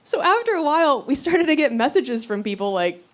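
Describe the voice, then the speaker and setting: A woman performing stand-up comedy, telling stories in an animated, conversational way and acting out quotes. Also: high-pitched, fairly quick. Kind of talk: stand-up comedy storytelling to a live audience. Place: live comedy venue on stage. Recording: live crowd laughter, noisy stage recording. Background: audience laughter.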